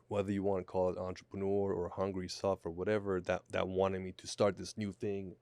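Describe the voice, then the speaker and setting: A man's voice: low-pitched, monotone, fairly quick, a little mellow, no echo. A man in his late twenties speaking as a podcast guest, reflective and repeating words as he thinks, on a studio mic. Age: 20s. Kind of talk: podcast conversation. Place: small studio. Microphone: studio mic on boom arm, headphones.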